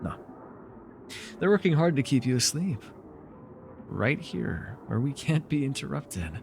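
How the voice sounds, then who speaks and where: A man's voice: mid-range voice, big pitch swings, a little slow, vocal fry, background noise. Man acting out a sly, swooping nightmare villain. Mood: mocking, insinuating. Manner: theatrical, teasing, mockingly sweet. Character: villainous nightmare lord, sly, taunting, flirtatious dream invader. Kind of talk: ASMR fantasy roleplay acting.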